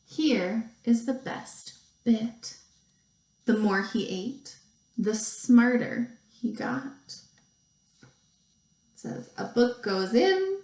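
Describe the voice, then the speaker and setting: A woman teacher reading a picture book aloud to young children, expressively, with deliberate pauses and stretched emphasis. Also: fairly high pitch, a little mellow. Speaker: second grade teacher. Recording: roomy, echoey sound.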